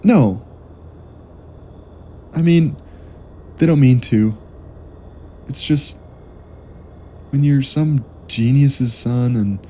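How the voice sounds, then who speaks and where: A man's voice: mid-range voice, dark tone, slight background noise, natural voice. A man playing a discouraged, self-doubting young university student in an audio drama, hesitant and subdued. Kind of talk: naturalistic audio drama acting.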